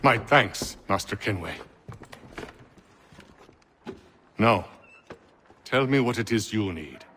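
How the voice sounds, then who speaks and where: An actor playing an educated, wry gentleman scholar from Ireland in a video game, articulate and formal, with dry delivery. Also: lowish voice, animated, unhurried, slight background noise. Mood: brisk, courteous. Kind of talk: video game cutscene acting.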